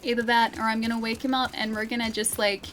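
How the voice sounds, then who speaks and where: A woman's voice: a little high, little pitch movement, fast talker, a bit bright, faint music behind, rough recording. Chatty, giggly young woman streamer. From Australia. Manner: chatty and giggly, talks to chat. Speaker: game streamer. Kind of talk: casual live-stream commentary.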